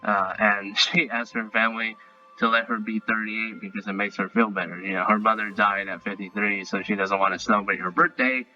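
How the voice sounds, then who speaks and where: Sharp, rambling man reviewing casually. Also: lowish voice, fairly even intonation. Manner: rambling, halting, repeats himself. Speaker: online cartoon reviewer. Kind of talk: casual unscripted review and recap. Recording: dull lo-fi audio, music bed. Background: faint music.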